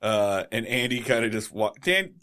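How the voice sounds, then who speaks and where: A man's voice: medium pitch, normal speed. A man co-hosting a podcast, joking and chatting casually about a TV show. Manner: lively, joking, laughs often. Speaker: podcast co-host. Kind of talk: casual chat.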